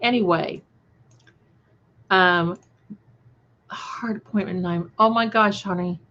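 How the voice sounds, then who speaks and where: A woman hosting a casual livestream, chatting to viewers in an affectionate, effusive and somewhat halting, scattered way. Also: fairly low pitch, steady tone, fairly bright tone. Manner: affectionate, effusive, addressing listeners with endearments. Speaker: livestream host and creator. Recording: dull, lo-fi livestream audio.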